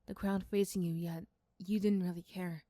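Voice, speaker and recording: Soft, mellow woman narrating a story. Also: on the low side, steady tone, moderate pace, dry recording, clean studio sound. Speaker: storyteller and voice performer. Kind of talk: ASMR roleplay scripted narration.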